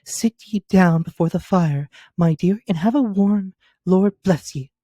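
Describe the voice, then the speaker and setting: A fussing, motherly poor mother, put on by a man. The voice is pitched up and bustling, and the sound is dry and close. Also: a little slow, warm tone, dry recording.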